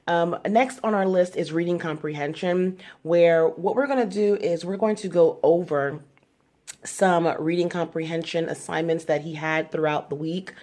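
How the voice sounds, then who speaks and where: A woman's voice: fairly low pitch, normal speed, a little mellow. A woman hosting her own channel, casually walking through her son's homeschool schedule step by step, with frequent fillers. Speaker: homeschooling mother and channel host. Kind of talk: casual explanatory vlog.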